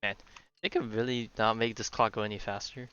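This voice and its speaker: Low, bright-voiced male game streamer. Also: steady tone, fairly quick, smooth. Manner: offhand, rambling. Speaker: game livestreamer. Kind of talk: casual live gameplay commentary. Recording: slightly dull sound, faint game music.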